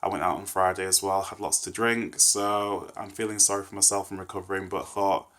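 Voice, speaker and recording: A man's voice: deep voice, steady tone, brisk, a bit bright. A man in his thirties with a stuffy nose from a cold, giving a thoughtful, rambling talk to camera. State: congested, nasal from a cold. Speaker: vlogger. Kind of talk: casual reflective rant to camera.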